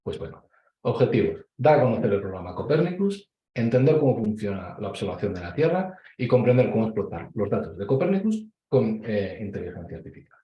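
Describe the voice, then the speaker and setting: A man with a Spain Spanish accent giving an online conference presentation, measured and explanatory, with frequent hesitations over a video call. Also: lowish voice, big pitch swings, dull audio. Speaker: conference speaker. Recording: echoey room.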